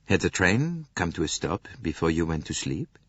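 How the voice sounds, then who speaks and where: A man voicing a fastidious, courteous older male detective who questions politely and orderly. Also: low-pitched, big pitch swings, fairly bright tone, husky, no echo, heavily acted. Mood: probing. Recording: dull dry studio recording.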